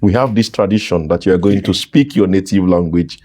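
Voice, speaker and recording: A man's voice: low voice, fairly quick, warm tone. A man hosting an interview show, speaking formally and emphatically, often repeating the guest's answers back. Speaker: host.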